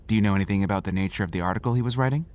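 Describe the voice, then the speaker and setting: A man playing a patient, paternal small-town sheriff in an audio drama, measured and reassuring but firm. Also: on the low side, darkish tone, close to an everyday voice. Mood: questioning. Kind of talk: naturalistic audio drama acting.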